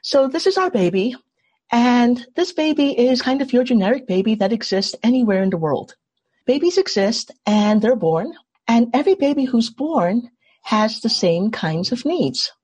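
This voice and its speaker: Husky-voiced woman leading a workshop. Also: a little high, expressive, moderate pace, darkish tone. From Trinidad. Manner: engaging, asks the group questions. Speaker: workshop facilitator. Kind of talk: online workshop teaching. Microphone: video call.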